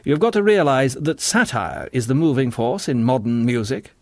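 A man's voice: medium pitch, very animated, normal speed, no echo, clean studio sound, natural voice. A man voicing a doctrinaire, superior woman in spectacles in an audiobook, in a didactic manner. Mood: lecturing, superior. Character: bespectacled woman, doctrinaire, lecturing nurse-keeper.

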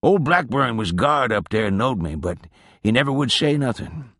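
A man voicing a weary, wry old Southern drinker with a drawling dialect, his voice raised higher and louder than his own. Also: very animated, moderate pace, no echo, clean studio sound. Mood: rueful. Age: older.

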